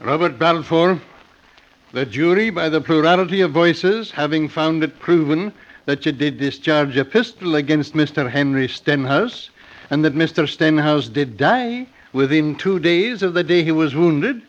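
An actor playing a solemn Scottish county judge with a declamatory, singsong delivery in an old radio drama. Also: male voice, on the high side, unhurried, close to an everyday voice. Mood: formal, stern. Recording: muffled vintage radio recording.

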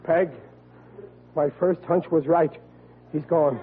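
A man's voice: fairly high pitch, fairly even intonation, takes it slowly, narrow, tinny audio. A man playing a bumbling, blustering family father, stammering and flustered, in 1940s radio comedy style. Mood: flustered, reassuring. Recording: thin, band-limited old radio recording with hiss.

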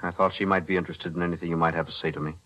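A principled lawyer speaks with measured, composed calm. His voice sits very deep, heard through the dull, lo-fi sound of an old radio broadcast. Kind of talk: 1940s radio acting.

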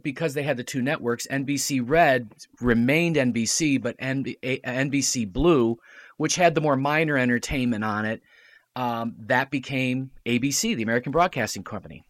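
A man talking casually as a podcast guest, explaining history in a halting, stop-and-start way. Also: mid-range voice, no echo.

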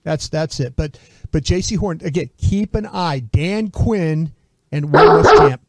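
A man talking as a sports analyst, explaining player prospects in a plain, steady way. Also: a little high, unhurried, very noisy. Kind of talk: casual sports commentary.

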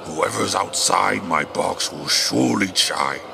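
An actor in an audio drama playing a pompous dog who fights and fancies himself a poet, speaking in grandiose, theatrical recitation with a gravelly voice. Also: male voice, low voice, slow pace, music in the background, heavily acted. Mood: solemn reciting.

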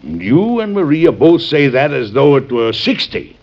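A man's voice: mid-range voice, big pitch swings, moderate pace, a little mellow. An actor playing a fussy, overprotective father who is an ailing President, stern and fretful, in old-time radio drama style. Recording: dull lo-fi old radio recording.

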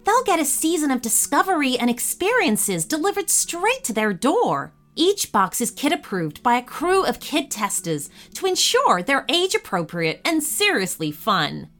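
Give me an upbeat woman host reading ads in a high, lively voice. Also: a little slow, few pauses. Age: adult. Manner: upbeat, enthusiastic ad read. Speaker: podcast host. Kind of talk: promotional announcement.

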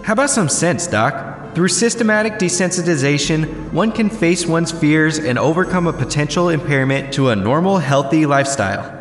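A man's voice: a little high, animated, moderate pace, close to an everyday voice. Man playing a defiant young student, echoing room. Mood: skeptical, challenging. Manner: challenging, sardonic. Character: skeptical, defiant male college student in his early twenties. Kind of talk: audio drama acting. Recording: echoing lecture room, faint music.

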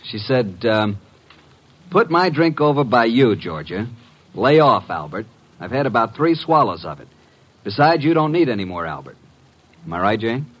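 An actor playing a brash, wisecracking young detective's assistant, breezy and slangy, in 1940s radio style. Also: male voice, medium pitch, big pitch swings, moderate pace, long pauses, rough recording. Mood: recounting, brisk.

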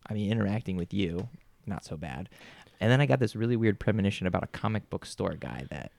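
A young man voicing his character in a tabletop game, chatty and loose with his phrasing. His low voice has a gravelly edge and swings around with lively pitch. It is recorded close and dry. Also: fast talker, no room sound. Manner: casual, chatty, with frequent restarts and laughs. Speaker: player.

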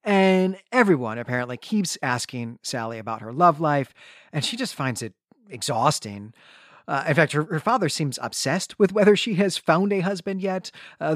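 A man's voice: medium pitch, dry recording, studio quality. A man speaking as a podcast co-host, giving an articulate, enthusiastic and conversational recap and discussion.

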